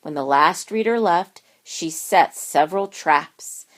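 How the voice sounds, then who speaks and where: A woman's voice: fairly low pitch, fairly bright tone. A woman reading a rhyming children's picture book aloud, expressively and with dramatic emphasis on words. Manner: deliberate, dramatic word emphasis.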